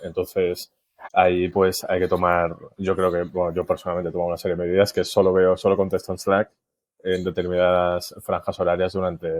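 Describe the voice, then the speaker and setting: A young man from Spain with a Málaga accent, chatting casually as a podcast guest, relaxed, with lots of fillers and easy laughter. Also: deep voice. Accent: Andalusian Spanish from Málaga. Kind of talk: casual interview chat.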